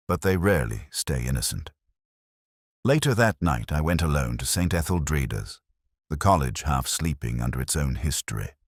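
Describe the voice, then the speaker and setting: A middle-aged man reading scripted first-person detective narration in a measured, brooding, literary manner. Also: extremely low pitch, breathy edge, no echo, clean studio sound. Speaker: audio drama narrator.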